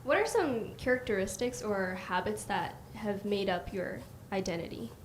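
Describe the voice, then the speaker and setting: A young woman hosting a casual chat, soft-spoken and asking her guests questions; she grew up speaking Mandarin at home. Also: mid-range voice, animated, normal speed, a little hiss or hum. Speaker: host.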